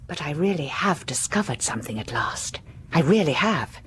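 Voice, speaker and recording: An actress playing an obsessed, unravelling Victorian wife in a gothic audio drama monologue, speaking in a hushed, deliberate way that drifts into whispers. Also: female voice, sits low, lively intonation, a little hiss or hum. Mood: haunted, secretive.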